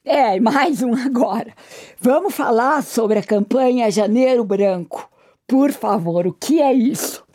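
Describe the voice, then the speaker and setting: Gushing woman interviewer, mellow tone. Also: fairly high pitch, a little slow. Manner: gushing, affectionate praise.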